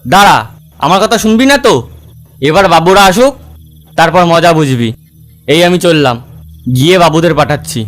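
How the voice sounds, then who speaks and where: A man's voice: fairly high pitch, expressive, normal speed, pauses often, a bit bright. A man voicing a bullying, impatient servant who scolds and threatens, in cartoon story dialogue. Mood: threatening, irritated.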